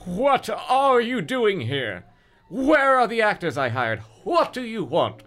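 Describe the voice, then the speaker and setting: A man's voice: sits high, huge pitch swings, takes it slowly, fairly bright tone, pitched above the performer's own voice, heavily acted. A man voicing a frightened teenage boy in a story, stammering and pleading.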